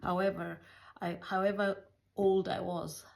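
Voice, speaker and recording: A middle-aged woman with a Kenyan accent telling personal stories in a halting, reflective way, searching for words; English is not her first language. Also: medium pitch, little pitch movement, vocal fry, darkish tone. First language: a Kenyan mother tongue. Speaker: healthcare worker recounting her life. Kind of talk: personal storytelling.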